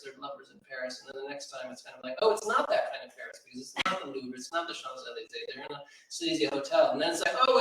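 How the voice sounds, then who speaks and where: Man, literary event speaker. Thoughtful, halting, digressive; very echoey room, slightly distant mic. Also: on the high side, fairly bright tone. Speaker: poetry editor and event speaker. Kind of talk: informal spoken commentary at a literary event. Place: large reverberant room at a live event.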